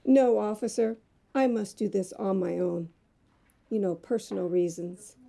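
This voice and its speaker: An actress playing a determined, independent magazine reporter in an audio drama, earnest, polite and resolute. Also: female voice, medium pitch, a little slow, vocal fry, mellow.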